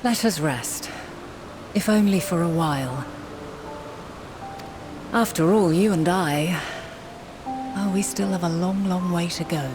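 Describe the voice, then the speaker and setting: An actress playing a wise, resolute leader in a game, speaking in measured, solemn, formal archaic phrases. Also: female voice, on the low side, music in the background. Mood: weary, tender. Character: wise, resolute ancient woman leader, grave yet hopeful. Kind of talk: dramatic scripted game acting.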